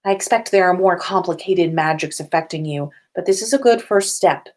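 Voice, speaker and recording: A woman voicing a dry, practical school healer in a story reading, matter-of-fact and measured. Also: on the low side, darkish tone, natural voice.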